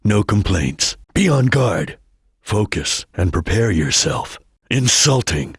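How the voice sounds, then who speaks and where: A man voicing a stern, disciplined ninja chameleon in a video game, with a solemn, formal, clipped delivery and a husky voice. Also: lowish voice, very animated, takes it slowly, no echo, studio quality, exaggerated voice. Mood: grave, resolute. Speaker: video game character giving battle lines and tutorials. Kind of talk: dramatic video game voice acting.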